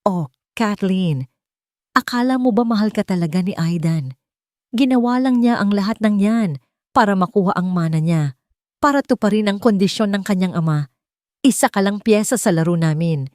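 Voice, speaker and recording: Slow sneering male villain, woman narrator doing him, dry close sound. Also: mid-range voice, animated, a little mellow, no echo, natural voice. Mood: taunting. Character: scheming, mocking male cousin villain.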